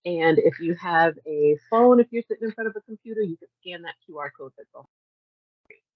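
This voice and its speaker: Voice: medium pitch, a little fast. A webinar co-host explaining logistics in an upbeat, chatty way over a video call. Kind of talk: hosting a webinar. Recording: dull, dry video-call audio.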